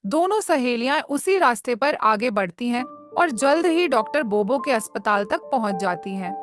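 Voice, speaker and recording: A woman's voice: a little high, animated, normal speed. A woman narrating a scripted children's cartoon story as the storyteller. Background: music.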